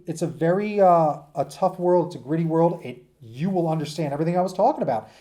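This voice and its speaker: A man's voice: a little high, warm tone. A man giving an informal lecture to students in an enthusiastic, emphatic, rambling conversational way, as their teacher.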